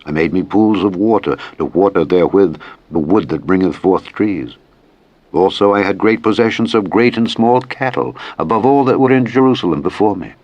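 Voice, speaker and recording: A man reading scripture as a solemn audiobook, in a measured, formal, declamatory manner. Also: deep voice, a little mellow, dry recording. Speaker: scripture reader. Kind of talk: solemn Bible audiobook narration. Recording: dull, muffled, lo-fi audio.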